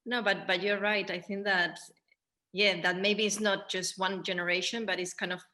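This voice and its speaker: A woman with as her moderates a theatre discussion at a middling pitch. She speaks hesitantly, circling back on phrases and pausing as she thinks. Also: level tone, sharp tone. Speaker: theatre director hosting a Q&A.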